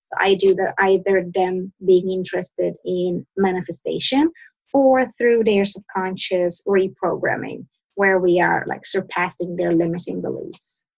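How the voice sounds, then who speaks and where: A woman from Sweden, a coach and podcast guest, answering questions in a relaxed way, pausing and restarting as she searches for words. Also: lowish voice, creaky, breathy edge, dull audio. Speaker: mindset and success coach, guest. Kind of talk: casual interview answers.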